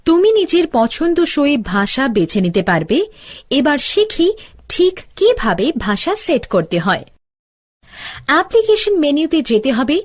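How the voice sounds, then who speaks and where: A woman's voice: high voice, full character voice. A woman playing an older-sister teacher, giving step-by-step instructions in a scripted cartoon tutorial. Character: older-sister teacher explaining gadgets to a child.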